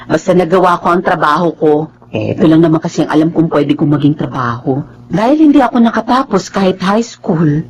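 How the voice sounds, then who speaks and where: A woman's voice: deep voice, lively intonation, fairly quick, a little mellow, a little hiss or hum. An actress playing a humble, weary, poor young mother who does laundry, in a Tagalog radio drama. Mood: humble, reserved.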